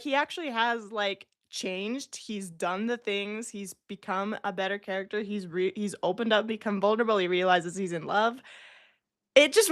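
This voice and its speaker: A woman's voice: mid-range voice, a bit bright. A woman in a casual discussion, explaining her points in an informal, conversational way full of "like". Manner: informal, peppers talk with "like". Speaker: co-presenter in a writing discussion.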